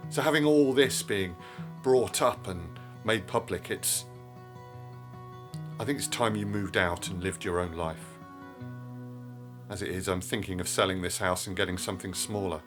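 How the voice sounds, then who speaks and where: A man's voice: deep voice, moderate pace, over music, lightly acted. A man playing a stern, wounded, middle-aged widowed father in an audio drama. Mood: stern, hurt.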